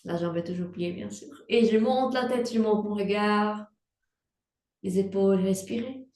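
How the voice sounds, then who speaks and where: Young female yoga instructor, unhurried soothing cueing; distant mic in a roomy space. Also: medium pitch. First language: Portuguese. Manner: slow, calm cueing with breath prompts. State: pregnant. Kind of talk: guided prenatal yoga class. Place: room at home, instructing from a mat. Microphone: laptop mic at a distance.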